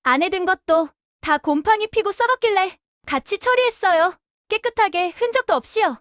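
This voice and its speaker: Casting: female actor as oblivious, boastful daughter-in-law for family radio drama, extremely high voice, dry close sound. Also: expressive, normal speed, fairly bright tone, dry recording. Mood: dismissive, annoyed. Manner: breezy, boastful, dismissive. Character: brash, chirpy, self-satisfied daughter-in-law, oblivious and tactless. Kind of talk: Korean family audio drama acting.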